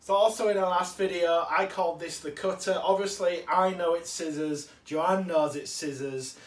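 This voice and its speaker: A man's voice: high voice, fairly even intonation, moderate pace. A man with a cold, chatting enthusiastically while unboxing a collectible figure and correcting himself along the way. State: has a cold. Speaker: toy collector vlogger. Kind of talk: casual unboxing chat. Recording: roomy, distant mic.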